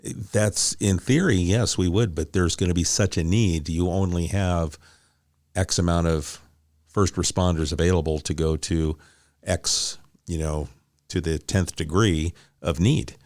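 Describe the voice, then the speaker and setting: A man's voice: low voice, fairly even intonation, measured pace, darkish tone, no echo, clean studio sound. A man hosting a conversational interview, affirming his guests and explaining points. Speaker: interview host.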